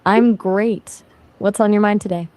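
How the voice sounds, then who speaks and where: A woman's voice: mid-range voice, fairly even intonation, a little mellow. Friendly, attentive synthetic woman's voice. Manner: friendly, quick and attentive, asks follow-up questions. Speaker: AI conversation partner. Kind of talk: casual chat.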